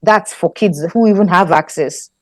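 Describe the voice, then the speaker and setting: A woman from Nigeria with a Nigerian accent, a guest scriptwriter chatting in an interview, thoughtful and quick to laugh, often restarting her sentences. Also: on the low side, darkish tone, no room sound, studio quality. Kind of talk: casual interview chat.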